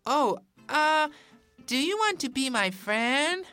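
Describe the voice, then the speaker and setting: Female narrator as children's-story rabbit: high, animated, hesitant, hungry for friendship, music underneath. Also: slow, full character voice. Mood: hopeful, pleading. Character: eager, lonely young rabbit seeking a friend.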